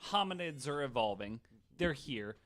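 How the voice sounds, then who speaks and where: Male podcast host, bright forceful voice, very lively intonation, casual and sweary. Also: mid-range voice, normal speed. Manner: casual, profane, interjecting. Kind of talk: casual chat.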